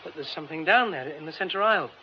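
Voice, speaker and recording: Man acting an anxious posh-ish theatre guy in a radio play, high swooping voice, muffled and noisy. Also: animated, quick pace, lightly acted. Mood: tense, shaken. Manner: urgent, agitated, hesitant when shocked. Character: anxious, well-spoken theatre man, excitable but polite. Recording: dull radio recording with clear noise.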